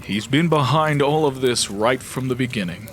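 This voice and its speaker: A man plays a wise immortal brother in a fantasy radio drama, with a mid-pitched voice. He is grave, measured and reproving, recorded with faint noise behind him. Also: a bit bright, close to an everyday voice. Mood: accusing. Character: solemn, wise male celestial elder brother, calm and reproving. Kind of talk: fantasy audio drama acting.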